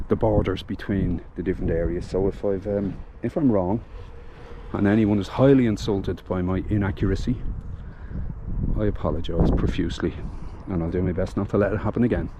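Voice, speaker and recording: A man with a Dublin accent speaks in a fairly low voice, chatting warmly to his viewers and often pausing to restart. He records outdoors, with clear street ambience and passing cars behind him. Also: dull audio, very noisy. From Ireland. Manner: chatty and heartfelt, with frequent fillers and restarts. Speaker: vlogger. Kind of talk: casual vlog commentary.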